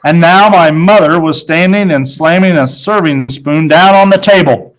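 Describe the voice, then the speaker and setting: A man's voice: a little high, normal speed, rough recording. A man narrating a children's book in the first person, reading from the text as a storyteller.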